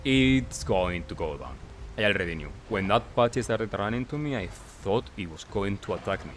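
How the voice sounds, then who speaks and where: Guy with a lowish voice casually talking thru gameplay, choppy short phrases, noisy audio. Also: expressive, moderate pace. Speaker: gaming video creator. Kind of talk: casual recap commentary over gameplay.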